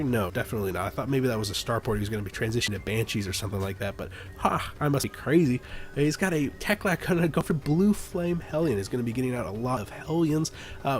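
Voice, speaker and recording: A man with a stuffy, nasal voice from the flu, casually commentating a strategy game replay and rambling with frequent fillers. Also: medium pitch. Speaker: game caster.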